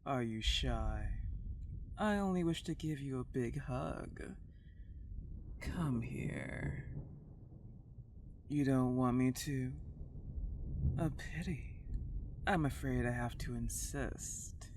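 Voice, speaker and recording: A woman playing a dark, possessive older vampire countess in an intimate ASMR roleplay, teasing and condescending as she lingers on her words. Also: rumbling low, takes it slowly, long pauses, slight background noise, exaggerated voice. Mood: coaxing, insistent.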